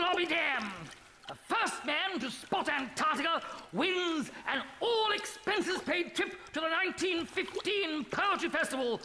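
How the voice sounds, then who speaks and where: A plummy, self-important expedition captain blusters through his orders in a live radio comedy. His voice sits very high, gravelly and bright. It carries a long studio reverb. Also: a little hiss or hum, heavily acted. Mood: blustering. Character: pompous upper-class male expedition captain.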